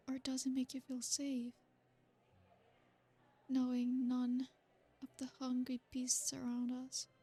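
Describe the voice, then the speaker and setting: A high, breathy vampire noblewoman played by a woman purrs slowly in an intimate ASMR roleplay. Her pitch stays narrow and even, with whispered asides slipped in. Faint music drifts underneath. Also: full character voice. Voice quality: breathy, soft-spoken. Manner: slow, seductive, drawn-out with whispered asides. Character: ancient possessive female royal vampire host. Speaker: ASMR creator.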